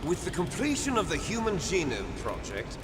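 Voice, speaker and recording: An actor playing a bitter, menacing video game villain, a clone soldier who speaks grandly and resentfully. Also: male voice, wildly swooping pitch, normal speed, music in the background. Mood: grandiose lecturing. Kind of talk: dramatic video game voice acting. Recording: echoey hall reverb over loud rumbling noise.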